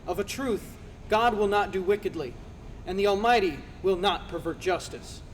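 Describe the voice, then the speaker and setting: A man's voice: high voice, moderate pace, natural voice. A man playing an earnest male counselor who rebukes and lectures in a declamatory dramatized scripture reading. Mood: admonishing. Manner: declamatory, preaching. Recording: reverberant hall, faint background noise.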